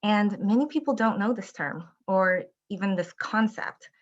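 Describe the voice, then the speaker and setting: Middle aged woman from taiwan pitching her company, earnest, lots of ums, kinda muffled call sound. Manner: earnest, measured, with frequent ums. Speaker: company founder presenting. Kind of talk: prepared presentation. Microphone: video call.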